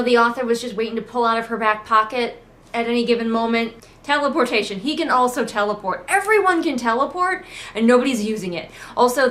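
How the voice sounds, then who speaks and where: A woman's voice: fairly high pitch, fairly bright tone. A woman giving casual, sarcastic book commentary with exasperated, mocking asides. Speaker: book critic hosting a readalong. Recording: noticeable background noise, train outside. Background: ambient noise, passing train at times.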